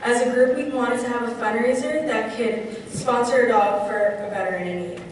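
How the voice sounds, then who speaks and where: A woman's voice: a little high, fairly even intonation, normal speed, slight background noise. A teenage girl with a New Jersey accent earnestly reading a prepared fundraising speech, heard from a distance in a large convention hall. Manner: reads from a script, earnest. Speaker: youth chapter representative. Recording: echoey hall, distant mic.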